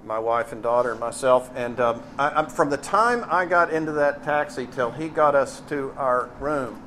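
A middle-aged man with a Texas accent preaching in a conversational, emphatic way, pausing on key phrases. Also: mid-range voice, a bit bright, a little hiss or hum. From Texas, United States. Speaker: preacher and seminary teacher. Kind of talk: expository sermon with anecdotes.

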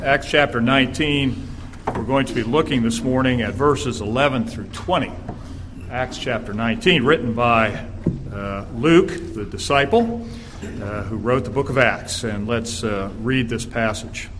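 A man with a raspy voice, a guest preacher delivering an earnest sermon in a church and reading scripture aloud. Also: medium pitch, background noise. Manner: earnest, measured preaching with filler "uh"s.

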